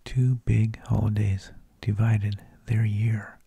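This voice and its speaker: A man narrating in a soft, breathy ASMR whisper, with slow, deliberate phrasing, close to the microphone. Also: fairly low pitch, level tone, dry recording. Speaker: ASMR host.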